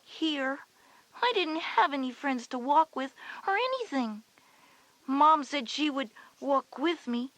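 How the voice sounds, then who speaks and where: A woman's voice: high voice, lively intonation, takes it slowly, fairly bright tone, no room sound, exaggerated voice, poor audio. A woman playing a confident schoolgirl big sister who explains things reassuringly in a scripted children's audio drama. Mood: reminiscing.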